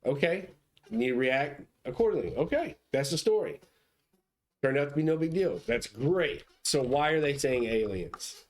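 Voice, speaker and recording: A man's voice: on the high side, very animated, normal speed. Skeptical man questioning the story. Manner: skeptical, questioning, thinks aloud. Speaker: podcast host. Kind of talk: casual chat.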